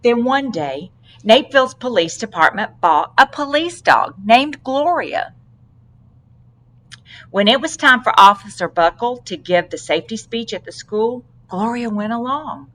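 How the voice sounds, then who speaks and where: A woman narrating a children's storybook aloud with deliberate, dramatic phrasing. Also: a little high, expressive, sharp tone. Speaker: storybook reader.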